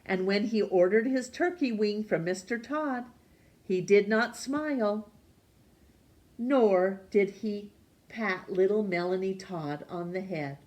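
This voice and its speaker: A woman reading a children's picture book aloud for storytime, gently and expressively. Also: medium pitch. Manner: gentle, slow and expressive, voicing characters.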